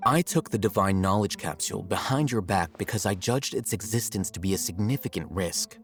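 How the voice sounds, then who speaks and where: A man playing a cool, calculating young scholar in a video game, articulate, measured and detached. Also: lowish voice, expressive, soft background music. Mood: cool, composed.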